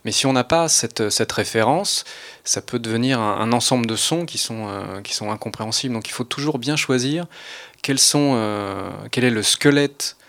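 A man speaking as a panel guest, explaining his craft in a halting, unscripted way. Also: mid-range voice, a bit bright. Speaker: audio fiction maker, panel guest.

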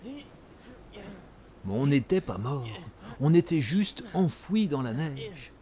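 A man's voice: on the high side, very animated, slow, warm tone, a little hiss or hum. An actor playing an old man recounting wartime adventures as a colloquial, boastful raconteur in a radio drama. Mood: grave, gripping. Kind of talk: radio drama storytelling in French.

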